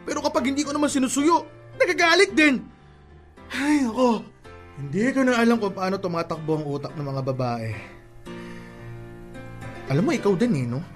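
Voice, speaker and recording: A man's voice: very high-pitched, moderate pace, long pauses, soft background music. A man with a Filipino accent acting a teasing, pushy young cousin in a radio drama. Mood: exasperated, loud. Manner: animated, ribbing, bossy. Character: teasing, pushy young male cousin giving love advice.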